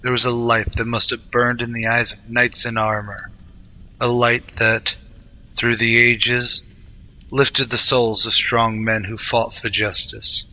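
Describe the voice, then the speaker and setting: Bright male old-time radio narrator. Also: mid-range voice, level tone, a little slow, rough recording.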